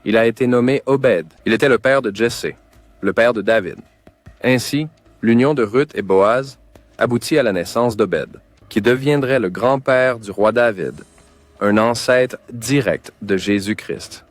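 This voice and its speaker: A man's voice: medium pitch, measured pace, no echo, soft background music. A man narrating a scripted Bible story.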